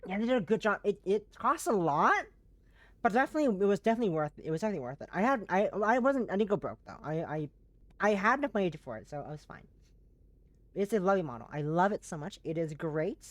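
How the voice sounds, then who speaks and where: A woman streamer chatting casually with her viewers, her voice hoarse and fading and her nose blocked, talking in bursts with lots of restarts. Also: mid-range voice, sing-song, fast talker, no echo. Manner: chatty and warm to chat, frequent restarts. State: hoarse, voice going.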